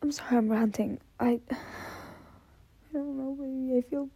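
A young woman speaking in an intimate, whispery monologue, halting and apologetic, anxious and close to tears. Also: high voice, steady tone, warm tone, no room sound. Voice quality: breathy, whispery voice. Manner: halting, apologetic, stammering repetitions. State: anxious, tearful, close to crying.

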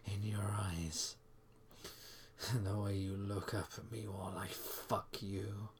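Male intimate-audio performer: soft, breathy, frequently whispered, unhurried drawl, reassuring sighs. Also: lowish voice, slight background noise. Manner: murmuring, sighing, reassuring.

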